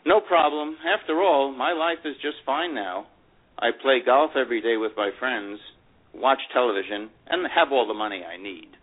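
An actor reading a memoir as a wistful, proud older divorced man, reflective and halting with emphatic openings, in a dramatic first-person style. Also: mid-range voice, lightly acted. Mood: wry. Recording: dull, lo-fi radio-like sound.

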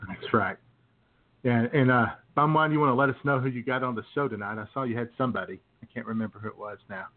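A man's voice: medium pitch, quick pace. A man co-hosting a podcast with casual, joking banter and mock-serious remarks. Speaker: co-host of a comedy podcast. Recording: tinny phone-like internet radio audio.

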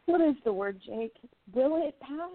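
A woman's voice: high-pitched, lively intonation, unhurried, no echo. A woman playing an earnest young 1920s gossip columnist in a radio play, speaking with theatrical sincerity. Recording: thin phone-like sound.

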